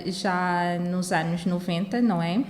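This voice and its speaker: A woman's voice: fairly low pitch, steady tone, a little slow, slight background noise. Chatty young Angolan woman hosting. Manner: chatty, with frequent "eh" fillers. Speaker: podcast host. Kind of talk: podcast interview.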